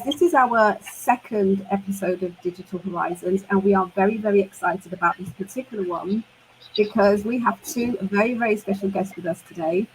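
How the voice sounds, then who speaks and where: A woman's voice: mid-range voice, a bit bright, a little hiss or hum, rough recording. Woman, 50s, from the UK, upbeat livestream co-host, repeats words for emphasis, mid-range voice. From United Kingdom. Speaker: co-host and online business mentor. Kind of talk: livestream panel chat.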